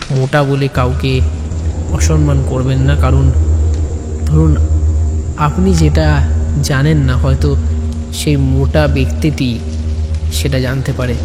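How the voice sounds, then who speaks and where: A man narrating an audio story as host, emphatic and repeating phrases for effect. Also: medium pitch. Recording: long reverb, clear vehicle-like background noise.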